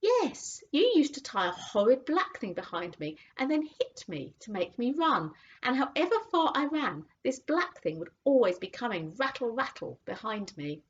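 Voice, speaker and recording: A woman voicing a slow, muddled old horse. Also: a little high, very animated, husky, pitched above the performer's own voice. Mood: musing. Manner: slow and thoughtful. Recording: dull lo-fi audiobook recording.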